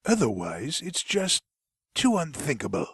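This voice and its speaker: An actor playing a guarded man who calls himself a control freak, speaking in a dry, measured way. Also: fairly high pitch, wildly swooping pitch, vocal fry, fairly bright tone, husky, full character voice. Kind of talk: web drama acting.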